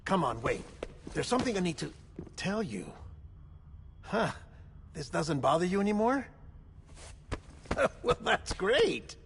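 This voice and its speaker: An actor playing an earnest, friendly colleague explaining things in a conversational, reassuring way in a video game cutscene. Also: male voice, high-pitched, big pitch swings, measured pace, pauses often, noisy background, exaggerated voice. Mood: friendly, teasing.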